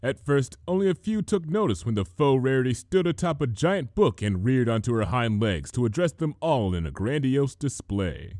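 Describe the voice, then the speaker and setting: A man's voice: mid-range voice, sing-song, moderate pace, hardly any pauses, darkish tone, dry recording, studio quality. A man reading a story aloud as narrator, in a scripted, dramatic storytelling style. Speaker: fan fiction reader, narrator.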